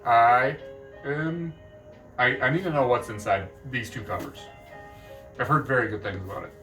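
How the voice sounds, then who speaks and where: Man, casual book vlogger, bright tone, animated pitch jumps, a bit off-mic, faint music behind. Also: normal speed, distant mic. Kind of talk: casual to-camera chat.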